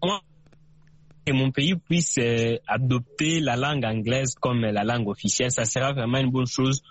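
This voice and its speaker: A man from the DR Congo, a Tshiluba speaker, calling in to a radio show and giving his view slowly, with many hesitations and repeats. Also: mid-range voice, no echo. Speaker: caller. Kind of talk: radio call-in opinion. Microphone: phone line. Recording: dull dry phone call on radio.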